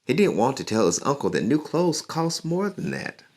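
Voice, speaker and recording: A man reading a picture book aloud to children in an encouraging, unhurried way. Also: a little high, huge pitch swings, a little mellow. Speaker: community leader and musician reading to children.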